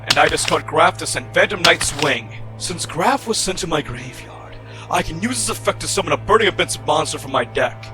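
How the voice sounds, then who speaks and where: A man's voice: a little high, expressive, a little fast, lightly acted. A man playing a scornful villainous duelist in an anime-style card battle roleplay, declaiming dramatically and formally. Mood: commanding, confident. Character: theatrical villainous duelist, scornful rival of a Chosen One. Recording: voice over loud background music.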